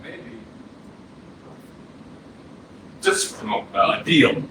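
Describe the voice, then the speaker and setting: A man playing the wistful ghost of a fourteen-year-old boy in a staged reading, halting and poetic with pauses. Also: lightly acted. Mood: wistful, hesitant. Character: dead fourteen-year-old boy's ghost, dreamy, wistful would-be filmmaker. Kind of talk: staged reading of a play, monologue. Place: indoor arts center stage, recorded from afar. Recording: very echoey, distant hall, clear noise, processed.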